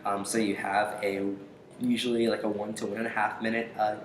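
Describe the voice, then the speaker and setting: A young man giving casual interview answers in a hesitant, halting way, pausing often. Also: lowish voice, flat delivery. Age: young adult. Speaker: interview guest, aspiring voice actor. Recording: echoey room with faint noise.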